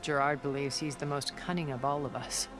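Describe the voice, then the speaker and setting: An actress playing a cultured, composed and superior thousand-year-old woman in a video game, speaking in a measured, deliberate way. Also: extremely low pitch, steady tone, faint music behind. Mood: confiding, wistful. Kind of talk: video game cutscene acting.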